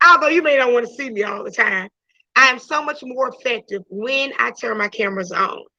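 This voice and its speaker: A woman's voice: on the high side, brisk, dry recording. A woman teacher talking casually to her online class, chatty, with many restarts and "you know"s, over a video call. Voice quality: loud voice. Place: home during a video class. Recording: dull lo-fi video call audio.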